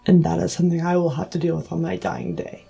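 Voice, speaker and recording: A man playing a principled, grave sci-fi armada leader in a tabletop game, terse and firm, with a raspy, creaky voice. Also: a little high, flat delivery, fast talker, warm tone, soft background music, heavily acted. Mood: defiant.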